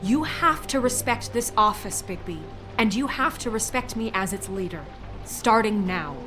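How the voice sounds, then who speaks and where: A woman's voice: mid-range voice, expressive, moderate pace, over music, lightly acted. A woman voicing a stern, principled leader in a video game, firm and authoritative. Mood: commanding. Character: stern, principled woman newly in charge of an office.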